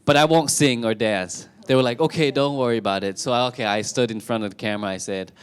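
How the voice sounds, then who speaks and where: A young man from Thailand telling his story on stage in a relaxed way, with many fillers and restarts. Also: mid-range voice, quick pace, clean tone. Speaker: talk show speaker. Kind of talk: casual storytelling to an audience.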